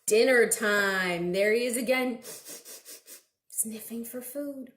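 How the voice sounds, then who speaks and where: A woman's voice: on the high side, slow, a bit bright. A woman children's librarian reading aloud expressively to small children, prompting them with simple questions. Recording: roomy, echoing indoor recording.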